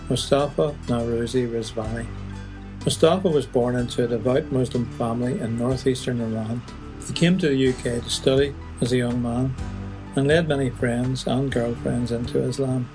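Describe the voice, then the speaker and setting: A man's voice: mid-range voice, rough recording. A man hosting a radio programme, reading scripted links to the listeners. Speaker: radio show host.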